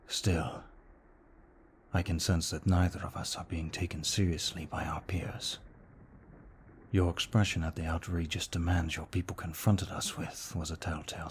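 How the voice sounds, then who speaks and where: A man acting as a young vampire aristocrat, courteous and protective, murmurs in a very low register. He takes his time with each formal, measured phrase, keeping the melody flat, in an intimate ASMR roleplay with faint noise behind him. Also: creaky. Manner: formal, polite, hushed and measured. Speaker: voice actor in an audio roleplay.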